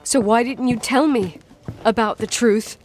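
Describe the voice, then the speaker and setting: A woman's voice: on the high side, a little slow, a little mellow, natural voice. A woman playing a strong-willed, hurting young woman in dramatic game dialogue, emotionally direct, with some noise behind her. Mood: defiant, accusing. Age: young adult.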